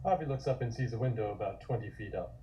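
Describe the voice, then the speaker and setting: A man's voice: on the low side, fast, dull audio, background noise. A man reading scripted scene narration for a comic table read.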